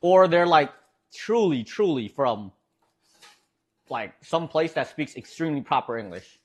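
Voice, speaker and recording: A man's voice: fairly high pitch, expressive, measured pace. A man with a Midwestern American accent streaming from home, rambling casually to his chat and laughing often.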